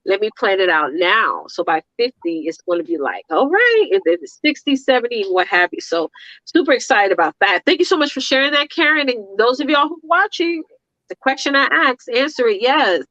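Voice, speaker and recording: A woman's voice: fairly high pitch, very animated, brisk, pushed and bright, dry recording. Earnest motivational woman, dry muffled sound. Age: 30s. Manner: earnest, motivational, repeats phrases. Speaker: talk show co-host. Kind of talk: casual talk show chat.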